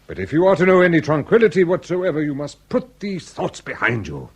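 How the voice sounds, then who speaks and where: An actor playing a grandiloquent, solicitous English lord in a period comedy radio drama, with ornate theatrical phrasing. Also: male voice, a little high, huge pitch swings, a little fast, slightly rough, heavily acted.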